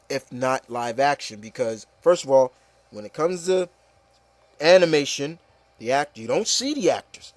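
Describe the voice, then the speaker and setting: A man's voice: medium pitch, dry recording. A man hosting a casual, opinionated discussion, talking emphatically and repeating himself with plenty of "you know". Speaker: host of an opinion show.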